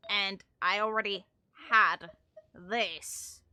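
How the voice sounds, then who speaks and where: Woman, game streamer, bright forceful voice, casual rambling explanations, sweary, very dry close sound. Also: medium pitch, fairly even intonation, extremely slow, clear, resonant tone, no room sound. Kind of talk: casual livestream commentary on a game.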